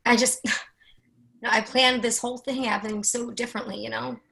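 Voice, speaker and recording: A woman's voice: fairly high pitch, flat delivery, normal speed, gravelly, fairly bright tone. A woman playing an anxious, candid, teasing friend in a conversational, wry play reading. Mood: upset, venting. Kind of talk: readers theatre play reading.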